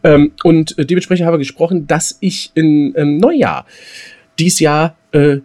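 A man's voice: fairly high pitch, moderate pace, darkish tone. A man co-hosting a podcast, chatting casually about films in colloquial slang with some mild swearing. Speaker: podcast co-host. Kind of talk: casual chat, film talk.

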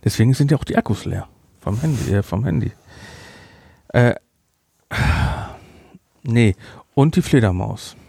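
Male podcast co-host, mid pitch, halting and filler-heavy, dry close recording. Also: expressive, gravelly, warm tone, husky, dry recording. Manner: hesitant, many fillers and restarts, jumps in quickly. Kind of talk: casual review chat.

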